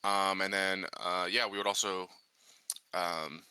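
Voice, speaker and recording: A man playing a tabletop game, improvising casually and haltingly as he searches for words. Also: deep voice, level tone, sharp tone, clear, resonant tone, dry recording.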